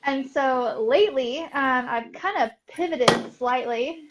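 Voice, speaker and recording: A woman's voice: high-pitched, slow pace, very noisy. A young woman artist, a guest in a casual interview, talking upbeat with frequent fillers and laughs. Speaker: artist and art business coach, guest. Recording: dull lo-fi audio.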